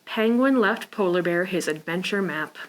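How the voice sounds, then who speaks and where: A woman's voice: on the low side, animated, moderate pace, low-quality recording. A woman hosting a preschool storytime, reading aloud and talking simply and encouragingly to small children. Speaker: library preschool storytime host.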